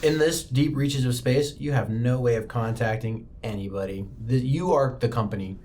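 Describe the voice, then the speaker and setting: A man voices a protective, pragmatic ship captain in a sci-fi tabletop game. The delivery is blunt and firm at a middling pitch, with music faintly behind. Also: normal speed, darkish tone, natural voice. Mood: bargaining. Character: firm, protective male freighter captain, hard bargainer. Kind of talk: improvised tabletop roleplay acting.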